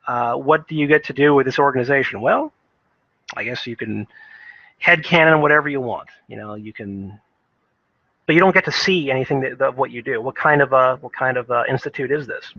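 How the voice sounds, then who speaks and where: A man's voice: mid-range voice, brisk, no echo. A man giving casual, opinionated video game commentary in a rambling, hesitant way, thinking aloud on a dull lo-fi microphone.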